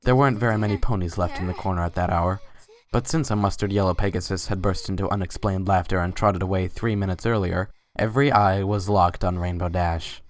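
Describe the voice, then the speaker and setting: A man's voice: low voice, fairly even intonation, fairly quick. A man giving a dramatic, scripted reading of a story as its narrator.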